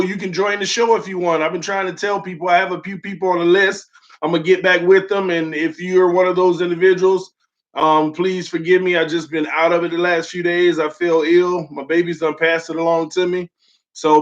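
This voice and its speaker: Young male stream host, fairly high, flat-voiced, loose and friendly with steady "um" fillers, voice still sore from illness, muffled lo-fi sound. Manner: loose and friendly, heavy "um" fillers, calls viewers "man" and "y'all". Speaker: host of a sports fan livestream. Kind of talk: casual livestream chat, reading viewer comments. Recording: dull lo-fi stream audio.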